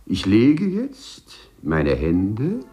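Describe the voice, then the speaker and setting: A courteous, eccentric prisoner in 1950s radio drama style. His fairly low voice is extremely animated, precise and formal, yet full of rapt, serene pride. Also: very slow, soft background music, full character voice. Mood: rapt, triumphant. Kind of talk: 1950s German radio play acting.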